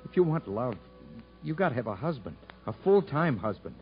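Embittered prisoner, weary folksy speech, very expressive, vintage radio drama, music behind, dulled broadcast sound. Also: male voice, medium pitch, fairly quick, muffled recording. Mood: bitter, despairing. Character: bitter, despairing male convict, married, wary and proud. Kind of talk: 1950s radio drama acting.

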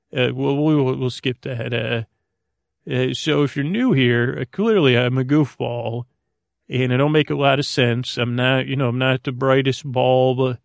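A man hosting a bedtime podcast in a creaky, lulling voice, meandering through self-deprecating half-sentences with frequent stammers. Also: mid-range voice, dry recording. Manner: lulling, soothing. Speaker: podcast host. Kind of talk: rambling bedtime monologue to help listeners sleep.

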